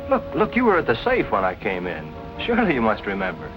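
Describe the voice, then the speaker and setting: An anxious, insistent husband under suspicion in a forties noir drama. His voice is on the low side, over music from an old film soundtrack. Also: wildly swooping pitch, quick pace, lo-fi sound. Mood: insistent, accusing. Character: anxious husband suspected of his wife's murder. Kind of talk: 1940s film noir acting.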